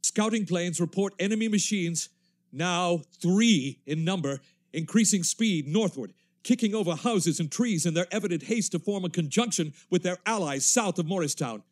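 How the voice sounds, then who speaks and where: An actor playing an urgent radio news announcer, reading war bulletins in clipped phrases in 1930s radio drama style. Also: male voice, high-pitched, lively intonation, normal speed. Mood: urgent, formal.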